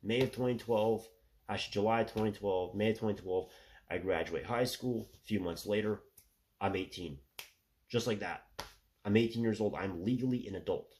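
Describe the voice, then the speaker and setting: A man in his thirties talking through a rambling casual monologue with a stuffy nose, filling every pause with "you know" and "right". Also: lowish voice, a little mellow, a little hiss or hum. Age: 30s. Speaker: solo commentator.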